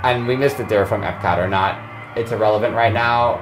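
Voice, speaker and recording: A man's voice: on the low side, a little fast, music in the background, poor audio. A man in his forties hosting a podcast, chatting casually and rambling, often breaking off mid-sentence. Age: 40s. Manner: rambling, self-interrupting, laughs at himself. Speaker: podcast host. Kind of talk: casual chat.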